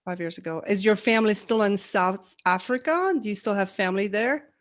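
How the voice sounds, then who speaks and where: A woman's voice: mid-range voice, expressive, brisk. A young woman hosting a podcast from home into a desk mic, upbeat and friendly. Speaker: podcast host. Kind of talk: podcast interview. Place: home room. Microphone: desk microphone.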